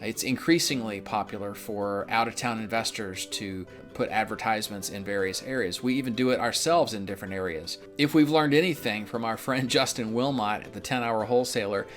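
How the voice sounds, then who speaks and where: A man's voice: fairly low pitch, fairly quick, few pauses, fairly bright tone. Casual explanatory man over guitar music. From Memphis, United States. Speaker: real estate investor and instructor. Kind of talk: conversational instructional narration of a script.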